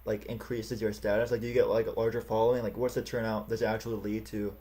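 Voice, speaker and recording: Guy interviewing someone over a video call, talks quick, lots of "gotcha" and "yeah". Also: on the low side, level tone, mellow. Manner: quick, easygoing, frequent short backchannels. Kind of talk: casual interview.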